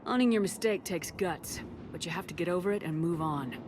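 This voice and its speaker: A woman's voice: fairly low pitch, brisk, very noisy, natural voice. A woman voicing a tough, commanding human military commander in a video game, firm, blunt and authoritative.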